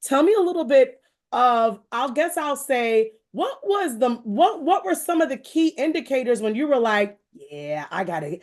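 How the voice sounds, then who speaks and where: An American woman hosting a conversational, faith-based interview, speaking in an effusive, rambling way with expressive asides. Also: high-pitched, moderate pace. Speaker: show host interviewing a guest.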